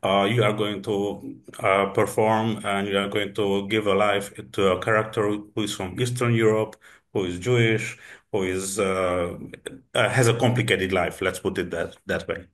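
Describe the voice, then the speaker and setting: A man from Hungary with a Hungarian accent, interviewing as a film critic and speaking English haltingly, filling gaps with "uh". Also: on the low side, steady tone. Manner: halting, searching for English words with many fillers. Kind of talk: press interview questions.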